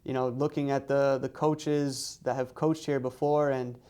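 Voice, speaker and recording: Male coach, interview answers. Smooth clear voice, fairly flat pitch, hesitant word-by-word phrasing. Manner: halting, hesitant phrasing with repeated words. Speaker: head soccer coach. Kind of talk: media day interview answers.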